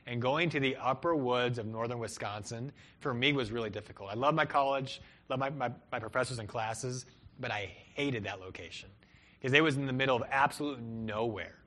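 A young man delivers a sermon with earnest conviction, his voice swelling on key phrases and sinking low at the ends of lines. He speaks as a pastor addressing his congregation, at an ordinary middle pitch. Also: a little fast, pushed and bright. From Peru and Cleveland, Ohio. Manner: earnest, rises and falls for emphasis.

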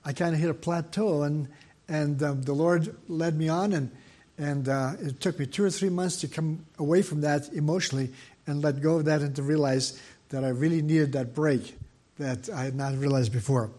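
An older man, soft-voiced, giving a sermon as a guest preacher in a church, talking fast with frequent fillers and restarts. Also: a little high, fairly even intonation. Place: church sanctuary. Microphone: microphone.

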